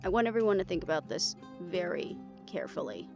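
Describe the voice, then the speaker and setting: A woman performing a cautious, protective hunter in a fantasy audio drama. She is blunt and skeptical, with a creaky, lively voice that swings in pitch over clearly audible music. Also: fairly quick. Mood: grave, cautioning. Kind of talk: scripted audio roleplay drama. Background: background music.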